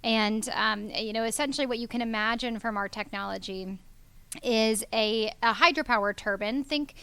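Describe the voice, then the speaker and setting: A woman's voice: a little high, normal speed, sharp tone, smooth, dry recording. A young woman from Georgia in the US, a company founder speaking earnestly as an interview guest, with many ums and you knows. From Georgia, USA. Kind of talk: business interview.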